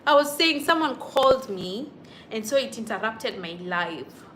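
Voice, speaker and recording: A woman's voice: a little high, animated, measured pace, a bit bright, a little hiss or hum. Chatty woman on a livestream. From Kenya. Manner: chatty, answers viewer comments as they come. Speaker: YouTube vlogger on a livestream. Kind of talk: casual livestream chat with viewers.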